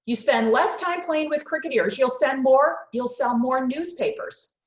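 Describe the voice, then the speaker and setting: A woman's voice: high-pitched, a little slow, echoey room, pitched above the performer's own voice. A woman voicing an exasperated, scornful mother in a children's storybook, scolding with emphatic delivery. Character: scornful, exasperated mother who detests bugs.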